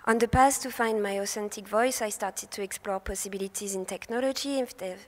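A young woman from France with a French accent, giving a prepared talk into a handheld mic from a lectern. Her voice is soft and airy, and she hesitates and corrects herself now and then. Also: medium pitch, brisk. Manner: hesitant, with fillers and self-corrections. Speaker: speaker presenting research. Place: festival talk stage in a large hall. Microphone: handheld microphone at a lectern. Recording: long hall reverb.

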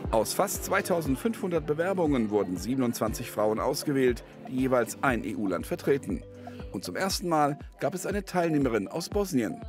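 A man narrates a news feature in a polished voice-over, his pitch moving with a lively lilt. A clear music bed plays under his words. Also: moderate pace. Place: studio voice-over.

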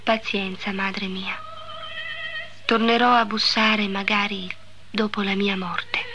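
An actress playing a pious, gentle teenage saint in a solemn, tender 1950s Italian devotional drama style. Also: female voice, mid-range voice, little pitch movement, lightly acted. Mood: gentle, prophetic. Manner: slow, solemn, tender delivery. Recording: old 1950s recording with music behind.

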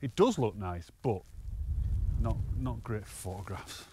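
A man's voice: lowish voice, lively intonation, measured pace, mellow, a little hiss or hum. A man, chatting cheerfully to camera while hiking on an open fell top, often laughing. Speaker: hiking vlogger. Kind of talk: casual walking commentary to camera.

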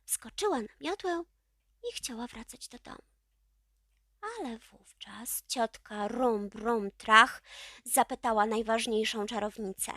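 A woman reads a children's story expressively. Her voice is fairly high and she takes her time, recorded dry and close with hardly any room sound. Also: slow pace, pushed and bright, no echo. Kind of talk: expressive children's audiobook narration.

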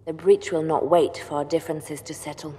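An actress playing a shrewd, composed spymaster advisor in a video game, speaking in a measured, firm and persuasive way. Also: female voice, deep voice, level tone, big-room sound, very noisy, natural voice. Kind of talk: dramatic video game dialogue.

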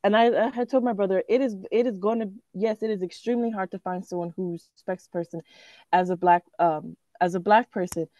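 Woman student on a muffled lo-fi call, dry sound, earnest and kinda halting. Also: mid-range voice, steady tone, warm tone, dry recording. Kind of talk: casual class discussion.

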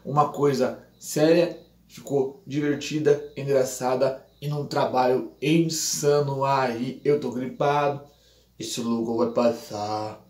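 Brazilian male react host; hyped, slangy, fast, mid pitch, echoey room. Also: steady tone, darkish tone. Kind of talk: hyped channel intro and song review. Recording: roomy echo.

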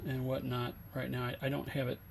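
A middle-aged man giving a casual, unscripted product demonstration in a plainspoken, halting way. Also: medium pitch, monotone, gravelly. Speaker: online seller demonstrating used radio equipment. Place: indoor home workshop bench. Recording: room noise, homemade camera recording.

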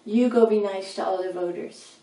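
A woman's voice: mid-range voice, normal speed, warm tone, slight background noise, natural voice. A woman doing an upbeat bossy red rat. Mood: coaxing. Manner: upbeat, rhyming, coaxing. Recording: roomy, slightly distant mic.

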